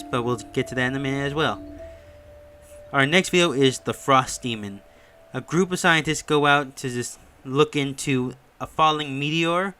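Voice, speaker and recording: A man's voice: medium pitch, sharp tone, slight background noise. A man narrating an informal recap and review voice-over in a conversational, explanatory storytelling way, as a video creator would. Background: music.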